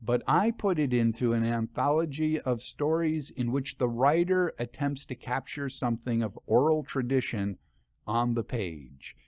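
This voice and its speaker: A man with a medium-pitched voice speaks as a podcast host. He muses conversationally and puts rhetorical questions to his listeners, recorded close and dry with no room sound. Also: lively intonation, measured pace, darkish tone, no echo. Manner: musing, asks rhetorical questions. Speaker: podcast host and anthology editor.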